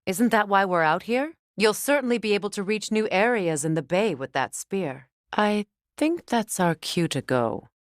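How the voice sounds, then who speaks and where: A woman's voice: medium pitch, expressive, moderate pace, hardly any pauses, dry recording, clean studio sound, natural voice. A woman acting a proud, grieving warrior goddess and sorceress in a video game, with a grave, intense, commanding manner. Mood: serious, measured.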